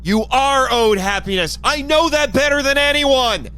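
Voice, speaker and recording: A man playing an exasperated, scolding yet contrite team leader in a fan audio drama, speaking expressively and haltingly. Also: extremely high pitch. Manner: expressive, sighing, hesitant. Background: background music.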